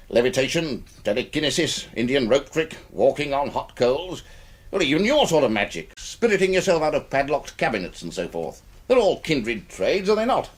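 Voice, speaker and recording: A man playing a jovial, eccentric upper-class English gentleman adventurer in a British radio drama, with a plummy, chuckling storyteller's manner. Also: mid-range voice, breathy edge. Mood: jovial, amused. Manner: plummy, chuckling, theatrical raconteur.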